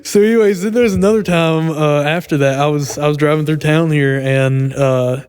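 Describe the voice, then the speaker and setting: A man's voice: on the high side, moderate pace, a little mellow, clear, resonant tone. A young adult man co-hosting a podcast, telling a story casually in an easygoing, chuckly way with folksy slang.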